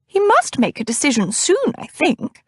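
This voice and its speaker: A woman reading an audiobook, voicing a fussy, fretting older woman chaperone in a high, fluttery, huffing voice. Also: huge pitch swings, normal speed, darkish tone, dry recording, clean studio sound. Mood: fretful. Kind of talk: audiobook character voice.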